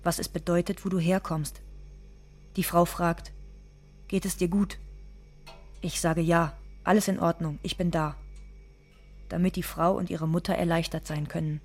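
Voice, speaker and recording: Bitter stoic immigrant lady, husky and a bit low, slow deliberate radio play monologue. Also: flat delivery, a little mellow. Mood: restrained, bitter. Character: immigrant woman from Ecuador without papers, stoic, bitter.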